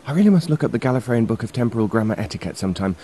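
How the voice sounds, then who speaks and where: Man playing a rapid, rambling eccentric time traveller. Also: medium pitch, sing-song, warm tone, slight background noise, close to an everyday voice. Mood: breezy. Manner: rambling, wordy. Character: chatty, eccentric, polite time-travelling gentleman, easily sidetracked. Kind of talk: audio drama acting.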